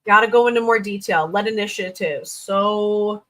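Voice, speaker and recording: A woman's voice: mid-range voice, normal speed, fairly bright tone. A woman hosting a livestream, giving informal, blunt feedback in a chatty, unscripted way and thinking aloud as she goes. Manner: informal, blunt, thinks aloud with little sing-song filler sounds. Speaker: livestream host reviewing resumes.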